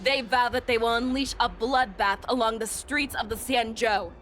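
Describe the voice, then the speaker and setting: A woman voicing a commanding female general who speaks in a measured, instructive way and rallies her troops. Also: a little high, fairly even intonation, natural voice. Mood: rallying. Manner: measured, instructive, rousing when addressing troops. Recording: studio game dub over loud music.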